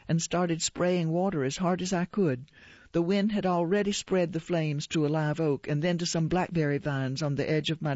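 A woman reading aloud as an older widowed woman recalling fighting a fire, in a plain steady way. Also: sits low, no room sound. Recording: dull lo-fi radio recording.

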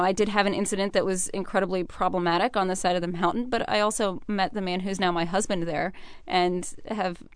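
A woman's voice: medium pitch, smooth, no echo, clean studio sound. A young American woman giving earnest, thoughtful and articulate answers as an interview guest. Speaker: interview guest, military veteran.

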